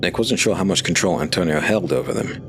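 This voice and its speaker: A man narrating a dark fantasy audio drama in a measured, steady storytelling voice. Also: sits low. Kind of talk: scripted narration. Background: music.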